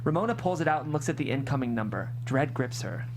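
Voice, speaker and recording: A woman narrates stage directions at a script reading. Her delivery is expressive storytelling. A noticeable hum and noise run behind the voice. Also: rumbling low, quick pace.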